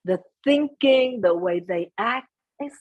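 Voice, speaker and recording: A soft-spoken middle-aged woman speaking as a guest in an interview, chatting about family, sometimes slipping into Vietnamese phrases. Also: fairly high pitch, expressive, takes it slowly, phone-quality sound. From United States. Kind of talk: conversational interview.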